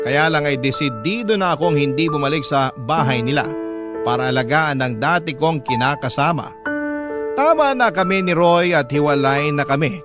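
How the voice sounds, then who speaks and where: Higher-pitched male storyteller over loud music. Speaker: radio drama host and narrator. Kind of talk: first-person dramatic story narration of a letter. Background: prominent background music.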